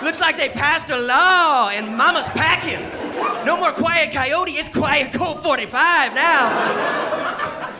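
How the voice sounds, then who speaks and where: Man acting out a fed-up, menacing schoolteacher, high-pitched. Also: takes it slowly. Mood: menacing glee. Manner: taunting, exasperated. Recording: live stage mic, loud audience noise.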